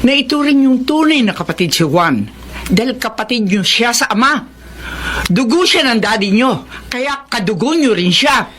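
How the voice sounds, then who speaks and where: A woman's voice: on the high side, expressive, moderate pace, a bit bright. A woman playing a stern, earnest mother in a Filipino radio drama, scolding and pleading with emphasis. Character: stern, pleading mother defending her stepson.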